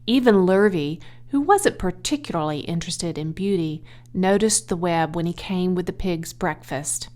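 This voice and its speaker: A woman reading a children's audiobook aloud as an expressive storyteller. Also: lowish voice, moderate pace, few pauses. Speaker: audiobook reader.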